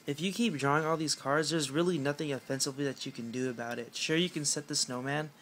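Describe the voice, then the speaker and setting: A young man with a raspy voice, chatting casually at home about a card game deck, rambling with lots of fillers and self-mocking jokes. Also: fairly high pitch, steady tone, fast talker, a bit bright. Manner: rambling, filler-heavy, self-mocking with frequent clearing of the throat. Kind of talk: casual talk to camera.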